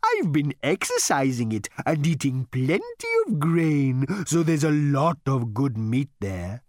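High, drawn-out, fawning cow; genteel and servile, audiobook character. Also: male voice, wildly swooping pitch, dry recording, clean studio sound. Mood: ingratiating. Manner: slow, genteel, ingratiating waiter-like delivery. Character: polite, eager talking cow offering itself as dinner.

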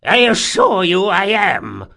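A man's voice: high voice, huge pitch swings, takes it slowly, bright, forceful tone, pitched above the performer's own voice, exaggerated voice. A man narrating an audiobook, voicing a wizened, wealthy old man who is haughty and formal, bargaining indignantly. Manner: haughty, formal, pleading when frightened.

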